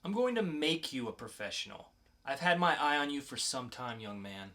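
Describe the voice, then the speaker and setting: A man acting a calm, probing interrogator with a formal, measured delivery in a dramatic comic-book reading. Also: medium pitch. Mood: coaxing, firm.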